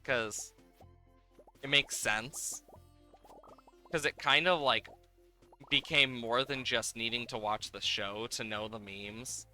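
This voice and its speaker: Bright, crisp-voiced man chatting on a livestream. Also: mid-range voice. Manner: rambling, playful, thinking aloud. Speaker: livestreamer, host. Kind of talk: casual live game-stream chat, reacting to game and viewers.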